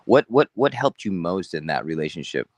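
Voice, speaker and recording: Deep-voiced man hosting an interview. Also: big pitch swings, moderate pace, darkish tone, no echo. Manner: encouraging, affirming interviewer. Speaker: podcast host. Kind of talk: podcast interview.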